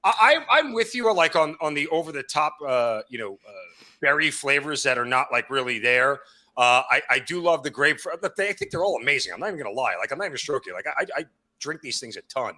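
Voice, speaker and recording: Quick, animated man with halting talk. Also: on the high side. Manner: halting, with many restarts. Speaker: guest podcast host. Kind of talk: casual podcast chat.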